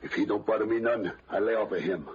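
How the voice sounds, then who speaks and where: Man playing a low, wisecracking gangster thug. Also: fast, a little mellow, natural voice. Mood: indignant. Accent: American. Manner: loose, slangy, ungrammatical tough talk. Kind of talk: 1940s radio acting. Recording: muffled, hissy vintage radio broadcast.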